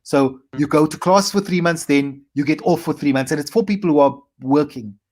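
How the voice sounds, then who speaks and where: A South African man in his forties, a comedian telling his story in a casual interview and acting out his past self in quick asides. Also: a little high, darkish tone. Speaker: comedian guest.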